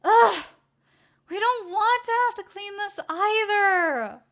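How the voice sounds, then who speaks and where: An exasperated young female hero's assistant, acted out by a woman storyteller. The voice jumps very high and bright, with a whiny, pleading edge. It is emphatic, in the manner of a lively storybook character. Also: slow, exaggerated voice.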